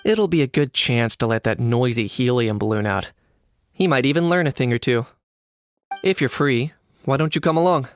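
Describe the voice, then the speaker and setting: A man's voice: mid-range voice, moderate pace, natural voice. A man voicing a dry, offhand young man. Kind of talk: video game dialogue.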